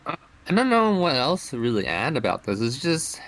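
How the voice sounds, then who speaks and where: A man co-hosting a casual anime chat, rambling and halting with lots of "like" fillers. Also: mid-range voice, very animated, a bit bright, rough recording. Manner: rambling, halting, many likes. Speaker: podcast co-host.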